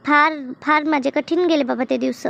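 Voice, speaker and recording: Lady doing a super high squeaky cartoon village woman, teasing chatty gossip, grumbles a lot. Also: normal speed, creaky, a bit bright, heavily acted. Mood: aggrieved. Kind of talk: colloquial comedy cartoon dialogue.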